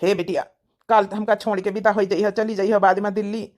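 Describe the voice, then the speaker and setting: A woman's voice: mid-range voice, fairly even intonation, a little fast, darkish tone, natural voice. A woman voicing a sentimental village parent fussing over a departing bride in an Awadhi comedy cartoon, with an Awadhi accent. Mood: tender, sad.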